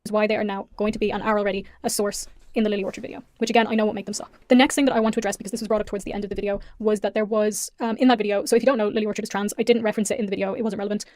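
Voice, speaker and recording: Young woman, Irish accent, very fast talking, earnest and apologetic, dry close sound. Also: medium pitch, little pitch movement, a little mellow, no echo. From Ireland. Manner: earnest, apologetic, rapid talk. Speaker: YouTuber being reacted to. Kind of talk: apology video.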